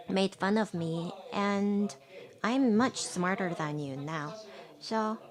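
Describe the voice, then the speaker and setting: Female interpreter for a keynote, mid-range voice, calm and measured, the odd restart. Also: mid-range voice.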